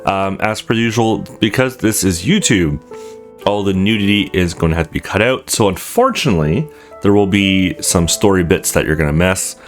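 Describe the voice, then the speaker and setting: A man's voice: sits low, expressive, normal speed. A man hosting a video game let's play in a casual commentary style, talking to his viewers. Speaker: host of a gaming channel. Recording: music playing behind the voice.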